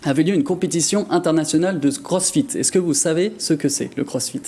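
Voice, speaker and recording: A man with a fairly high, mellow voice presents a TV column. He reads prepared lines with a playful, joking touch and chats with the studio. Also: fast talker. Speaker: TV magazine columnist. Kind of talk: lively prepared studio chronicle with banter.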